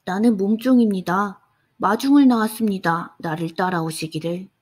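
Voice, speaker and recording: A woman's voice: mid-range voice, moderate pace, darkish tone, breathy edge, natural voice. A woman voicing a cheerful, chatty young boy page who talks in a polite, formal way, in a bedtime audiobook. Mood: politely cheerful. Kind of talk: audiobook character voice.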